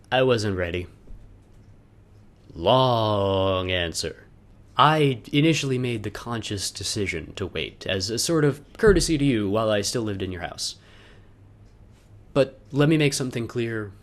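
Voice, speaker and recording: Theatrical young man reading a personal letter. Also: fairly low pitch. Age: young adult. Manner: theatrical, halting delivery with emphatic repeats and wry asides. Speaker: video letter narrator.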